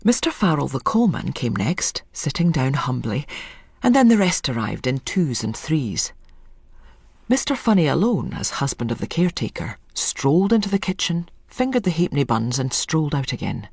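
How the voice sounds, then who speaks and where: Husky, expressive story narrator. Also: mid-range voice, normal speed, no room sound. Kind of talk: scripted literary narration.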